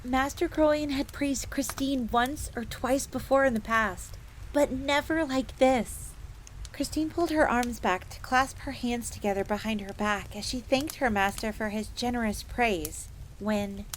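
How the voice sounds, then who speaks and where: A woman's voice: a little high, a little slow, lightly acted. Devoted fox maid played by a woman, fire crackling. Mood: bashful delight. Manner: expressive, refers to self in third person. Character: devoted, eager female fox maid serving a vampire. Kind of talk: in-character third-person storytelling, audio drama. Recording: faint crackling fire noise behind voice.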